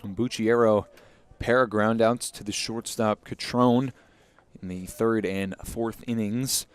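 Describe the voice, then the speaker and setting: A man's voice: fairly low pitch, steady tone, a little slow, clean tone, no room sound. A man giving analysis as a color commentator on a live baseball broadcast.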